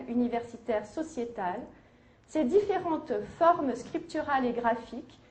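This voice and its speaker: A woman giving an academic conference lecture, measured, with hesitations and self-corrections. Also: fairly high pitch, mellow. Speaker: university lecturer. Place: lecture hall. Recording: echoey lecture hall.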